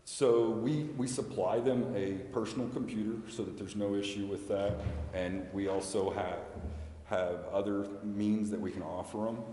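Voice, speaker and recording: An American man answering questions at a public meeting as a school representative, explaining haltingly and repeating words. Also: lowish voice, steady tone, dark tone. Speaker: school representative addressing a city council. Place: large indoor council chamber. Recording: echoey meeting hall with background noise.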